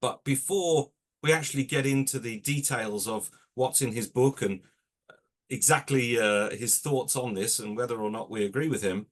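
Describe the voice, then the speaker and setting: Hesitant man chairing an online talk. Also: medium pitch. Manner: polite, hesitant, many pauses. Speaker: event chair and host. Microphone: video call.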